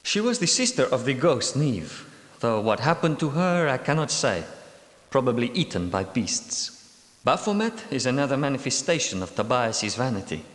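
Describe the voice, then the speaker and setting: In a cavernous, echoing space, an actor voices a cunning Grail knight for a video game. He speaks in formal, old-fashioned phrases with expressive swings of pitch, a timid man who is secretly scheming. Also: close to an everyday voice. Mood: grave, wary. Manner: formal, archaic, measured diction. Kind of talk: theatrical video game character dialogue. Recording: echoey hall with long reverb.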